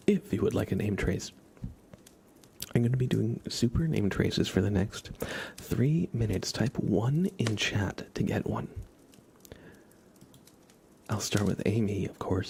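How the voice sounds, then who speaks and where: A man hosting an ASMR livestream, talking softly and intimately to his chat and often slipping into whispers, close to the microphone. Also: fairly low pitch, creaky, a little hiss or hum. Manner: gentle, drawn-out delivery slipping into whispers.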